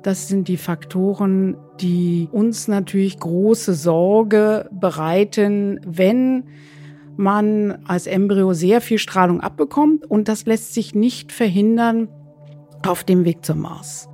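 A woman's voice: medium pitch, takes it slowly, dark tone, faint music behind. A woman doctor giving explanatory interview answers as an expert, searching for her phrasing as she goes.

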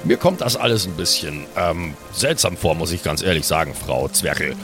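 A man's voice: low voice, animated, normal speed, over music, voice pushed down. A man playing an impatient, suspicious dwarf cleric who talks with blunt, wordy politeness.

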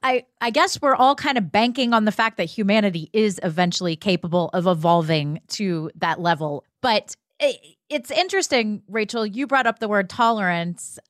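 A woman speaking earnestly in a reflective group discussion, choosing her words deliberately as a podcast panelist. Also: medium pitch, no room sound, studio quality.

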